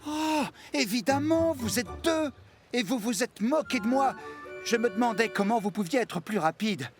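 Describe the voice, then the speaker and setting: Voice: mid-range voice, animated, moderate pace, music in the background, natural voice. An actor voicing a proud, earnest racing steam engine, slightly huffy, in a French cartoon dub. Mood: indignant.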